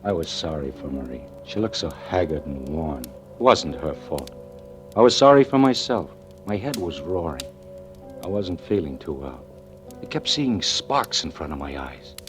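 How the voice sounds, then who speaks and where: An actor playing a tormented fire inspector who narrates in a tense, halting way in a 1940s radio drama. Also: male voice, rumbling low, very animated. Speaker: narrator and lead. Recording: old 1940s radio broadcast with music.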